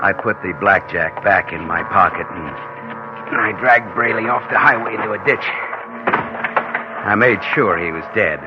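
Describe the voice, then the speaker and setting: A man's voice: fairly low pitch, moderate pace, natural voice. A man acting a cunning, murderous con man in old radio suspense style, hard-boiled and calculating. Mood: cold, matter-of-fact. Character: cunning murderous male con man narrating his crime. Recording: dull vintage radio recording with music.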